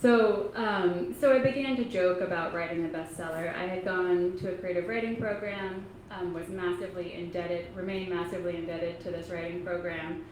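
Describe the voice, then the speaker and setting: A woman from Kentucky in her thirties, an author speaking softly and haltingly to a library audience, with many pauses and ums. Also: on the low side, steady tone. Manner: soft-spoken, halting, frequent ums. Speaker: author giving a library talk. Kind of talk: casual talk to an audience. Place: public library meeting room. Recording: echoey room, faint noise.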